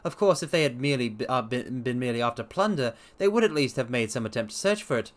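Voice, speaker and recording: A man voicing a self-assured gentleman detective in a storybook reading, brisk, precise and emphatic as he explains himself. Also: medium pitch, animated, close to an everyday voice. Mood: analytical, confident.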